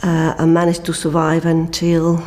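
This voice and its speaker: Low, mellow older Chilean woman. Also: monotone, moderate pace. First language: Spanish. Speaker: documentary interviewee, former political prisoner. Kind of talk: personal testimony.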